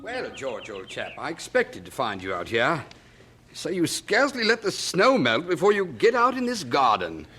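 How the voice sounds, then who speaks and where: An actor playing a genial, teasing, frank British gentleman friend in a radio drama. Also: fairly high pitch, wildly swooping pitch, normal speed, slight background noise, full character voice.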